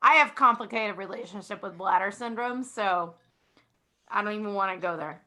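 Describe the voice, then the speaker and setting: Bright-voiced woman in casual conversation. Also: medium pitch, moderate pace. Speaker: podcast guest. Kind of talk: casual group chat.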